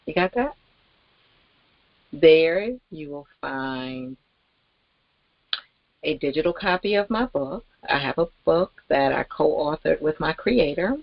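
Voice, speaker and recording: A woman giving an informal, rambling promotional talk as host, with halting, emphatic delivery and pauses mid-sentence. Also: deep voice, expressive, creaky, dry recording, muffled recording. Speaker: network marketing promoter and host.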